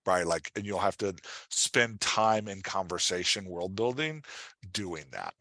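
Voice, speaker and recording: A man's voice: low-pitched, big pitch swings, unhurried, bright, forceful tone, no echo. A man working as a writing coach, answering questions in an informal, emphatic, conversational way with casual examples.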